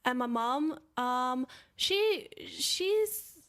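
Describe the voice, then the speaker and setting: Chatty woman, higher voice. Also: lively intonation, drawn-out words, fairly bright tone. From Australia. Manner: rambling, many fillers and restarts. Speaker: podcast guest. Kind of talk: casual chat.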